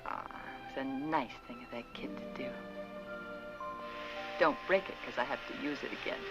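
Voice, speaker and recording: A woman playing a gentle, anxious wife caring for an ill husband, kindly and motherly, in an old-time dramatic style. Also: sits low, quick pace. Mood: reflective. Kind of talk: old-time dramatic acting with confiding narration. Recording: dull vintage recording, music underneath.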